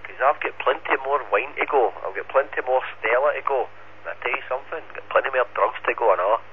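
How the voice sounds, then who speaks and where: A man with a central Scottish accent, mid-pitched, heard through thin, phone-like two-way radio with a little noise. He talks in loose slang, swearing freely and goading whoever is listening. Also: fast talker. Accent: Scottish, central Scotland. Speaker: amateur radio operator. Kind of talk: casual ham radio banter. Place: received over an FM radio repeater.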